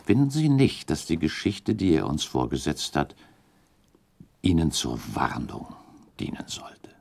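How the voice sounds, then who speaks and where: An actor playing a shrewd, calculating count in a radio drama, speaking in a deliberate, formal and pointed way. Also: male voice, very deep voice, wildly swooping pitch, gravelly, darkish tone, heavily acted. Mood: insinuating. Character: shrewd, calculating count advising a prince, diplomatic. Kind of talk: German radio drama acting.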